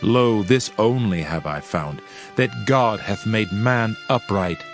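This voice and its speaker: A man narrating a dramatized scripture reading in a solemn, measured way with archaic phrasing. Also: lowish voice, over music.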